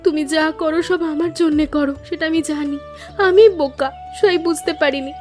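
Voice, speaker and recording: A woman's voice: sits high, fairly even intonation, fairly quick, a little mellow, natural voice. A woman voicing a sensitive, apologetic young niece in a cartoon story, earnest, pleading and sentimental. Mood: adoring. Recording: music under the voice.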